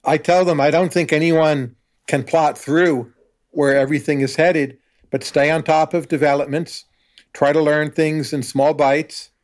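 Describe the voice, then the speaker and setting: A man in his sixties, a professor and guest, giving measured, confident opinions in an interview. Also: a little high, steady tone. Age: 60s. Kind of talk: conversational interview.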